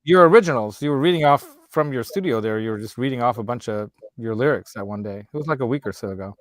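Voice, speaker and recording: A man's voice: mid-range voice, lively intonation, quick pace, dry recording. A man with a slight Hungarian accent and Hungarian as his first language, hosting a casual chat show, rambling and laughing easily. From United States. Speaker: host.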